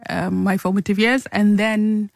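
A woman's voice: medium pitch, a little slow, vocal fry, dry recording. Woman guest, laughs a lot, upbeat chatty, dry recording. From London. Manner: laughs often, lively and playful. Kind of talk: casual interview chat.

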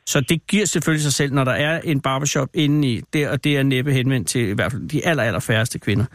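A man's voice: medium pitch, no echo. A man hosting a casual radio interview, feeling his way through questions with hesitant phrasing and teasing asides. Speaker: radio host interviewing a caller. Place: radio studio.

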